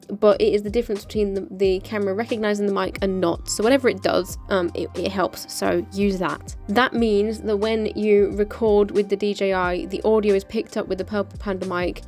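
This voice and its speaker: A woman's voice: mid-range voice, fairly even intonation, normal speed, darkish tone, faint music behind. A woman with a British accent giving a chatty, informal, practical explainer as a motorcycle vlogger. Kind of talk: casual explainer of a gear setup.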